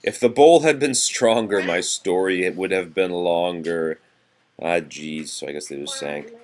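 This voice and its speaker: A man's voice: low voice, measured pace, a little mellow. A man reading nursery rhymes aloud in a sing-song way, chatting casually to a child between them as a parent would.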